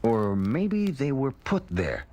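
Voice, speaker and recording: An actor playing a firm, suspicious space base commander in an audio drama, with an urgent, questioning manner. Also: male voice, medium pitch, big pitch swings, slow, a little mellow. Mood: wary, businesslike. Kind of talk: 1970s audio drama acting. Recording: music under voice.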